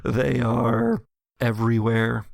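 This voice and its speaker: A man runs a tabletop horror game as its storyteller, addressing players in vivid, dramatic second person. He speaks at an unhurried pace, with a gravelly creak in his voice. The sound is very dry. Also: medium pitch, flat delivery, no room sound. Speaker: game master.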